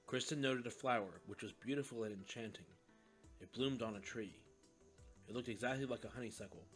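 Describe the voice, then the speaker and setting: A man giving scripted narration of a story in the third person. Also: fairly low pitch.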